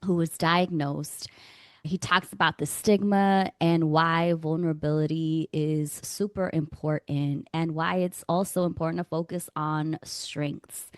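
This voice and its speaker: Upbeat female podcast host, lower register, dry close mic. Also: no echo. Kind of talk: scripted intro then interview questions.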